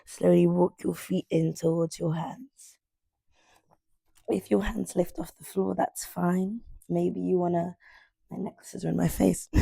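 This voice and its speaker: Young woman yoga teacher, gravelly low voice, husky, dry living room sound. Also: dry recording. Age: 20s. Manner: soft, unhurried cueing while stretching. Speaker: yoga instructor. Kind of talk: guided stretching class. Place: sunlit living room with large windows.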